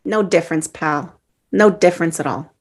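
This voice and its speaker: A woman playing a loud, mocking man on a jury in an amateur table read, exclamatory and jeering. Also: fairly low pitch, moderate pace, husky.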